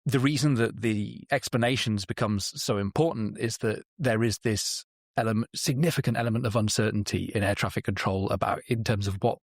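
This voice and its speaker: A man's voice: fairly low pitch, brisk, creaky, no room sound, studio quality. A man talking as an expert guest on a podcast, explaining his reasoning in interview style.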